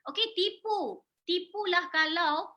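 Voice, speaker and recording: A woman's voice: extremely high pitch, slow pace, pushed and bright. A woman in her forties with a Malaysian accent, giving an informal live sales presentation in an emphatic, repetitive way, often checking in with listeners through questions. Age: 40s. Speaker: product presenter and sales promoter.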